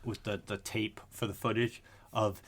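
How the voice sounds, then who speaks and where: A man's voice: fairly low pitch, flat delivery, normal speed, noisy background. A man co-hosting a podcast in casual chat, retelling things in a slangy, sweary, laughing way. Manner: laughs often while talking. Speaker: podcast co-host.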